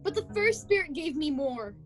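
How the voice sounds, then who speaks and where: A woman's voice: sits high, very animated, moderate pace. A woman playing a frightened miser in a radio play, pleading dramatically and emphatically. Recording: home video call recording with music.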